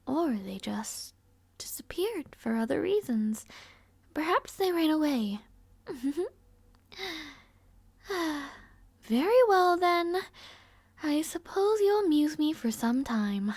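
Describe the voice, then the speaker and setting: Woman acting a haughty, high-voiced, languid young princess. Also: sing-song, slow, long pauses, a little mellow, no room sound, exaggerated voice. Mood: haughty, dismissive. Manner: haughty, languid, sighing, formal wording. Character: rude, arrogant, somewhat shy young princess, haughty and weary. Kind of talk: scripted audio roleplay acting, addressing the listener. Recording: close, dry, no ambience.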